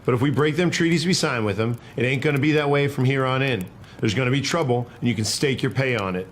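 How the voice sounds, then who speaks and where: A man's voice: medium pitch, quick pace, a little hiss or hum, close to an everyday voice. A man playing a principled, stubborn cavalry private in a historical drama, arguing in folksy frontier speech. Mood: indignant.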